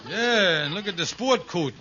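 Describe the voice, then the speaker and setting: Dull old radio recording, wisecracking scheming husband, high-pitched, folksy exaggerated comic dialect, sitcom delivery. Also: male voice, lively intonation, normal speed, sharp tone, a little hiss or hum. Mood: breezy. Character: scheming, wisecracking married man, put-upon apartment host. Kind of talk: 1940s radio sitcom acting.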